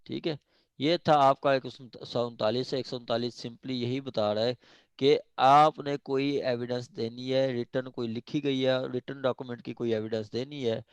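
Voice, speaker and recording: A man with a Pakistani accent giving an informal law lecture, explaining step by step and reading formal passages haltingly. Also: mid-range voice, fairly even intonation, clean tone, no room sound. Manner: switches between reading formal English haltingly and explaining conversationally. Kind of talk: informal lecture explaining a legal text clause by clause.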